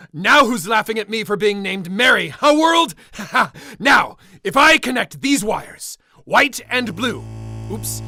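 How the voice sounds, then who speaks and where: An actor playing a manic, childish mad scientist in a comedic audio drama, theatrical and given to exclaiming and cackling. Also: male voice, high-pitched, pushed and bright, dry recording, exaggerated voice. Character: manic, giggling mad scientist, cranky, childish, boastful man.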